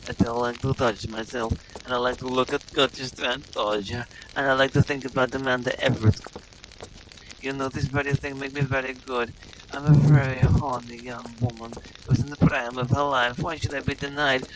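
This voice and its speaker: A man's voice: mid-range voice, flat delivery, normal speed, natural voice. Guy doing a leering shameless lady adventurer, broken english, hiss on the mic. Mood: pleading. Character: brazen, lewd adult woman adventurer. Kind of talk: improvised comedy voice-over over gameplay.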